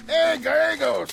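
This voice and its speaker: An actor playing a sleazy, loud-mouthed western peddler who taunts people in a mocking, theatrical drawl on a vintage television drama. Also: male voice, very high voice, gravelly, exaggerated voice.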